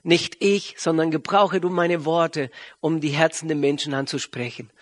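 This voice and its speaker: A middle-aged male pastor from Argentina addresses a congregation with an Argentine accent, Spanish being his first language. His voice sits a little higher than most men's. He speaks haltingly, often searching for the next word. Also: steady tone. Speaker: visiting pastor and regional church leader. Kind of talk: sermon introduction to a congregation.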